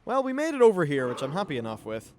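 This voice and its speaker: A man's voice: fairly high pitch, wildly swooping pitch, quick pace. A man giving casual live-play game commentary in a chatty, wry, casually sweary way. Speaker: gaming video host. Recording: clear background noise and game ambience.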